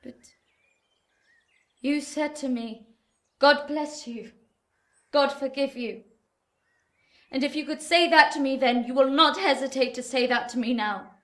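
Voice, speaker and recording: An actress playing a gentle, sorrowful Victorian woman in a period radio drama, earnest and formal. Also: sits high, a little slow, long pauses. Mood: sorrowful, pleading. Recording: echoey room, environmental noise.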